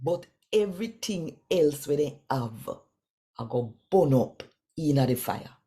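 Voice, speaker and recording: A woman with a Jamaican accent reads scripture in a low, mellow voice. She takes her time and lets her pitch swing widely, stressing words with deliberate pauses. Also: lively intonation. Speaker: scripture reader. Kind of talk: expressive Bible reading in Jamaican Creole.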